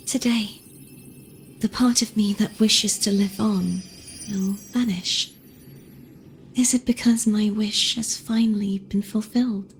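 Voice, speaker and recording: A woman playing a gentle, resigned, self-sacrificing young woman in a video game, speaking softly and breathily with solemn, wistful deliberation. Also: medium pitch, fairly even intonation, slow, pauses often, a little hiss or hum. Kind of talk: dramatic video game voice acting.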